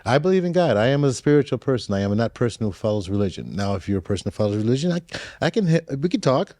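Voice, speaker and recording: A man in his sixties, a contestant on a dating show, talking in a relaxed, earnest way and often repeating himself. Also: mid-range voice, very fast, dry recording, studio quality. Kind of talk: casual chat.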